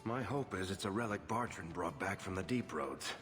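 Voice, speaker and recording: A man's voice: low-pitched, animated, moderate pace, big-room sound, over music. A man playing a roguish, easygoing middle-aged dwarf in a fantasy video game, with a wry, casual delivery. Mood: wry, uneasy.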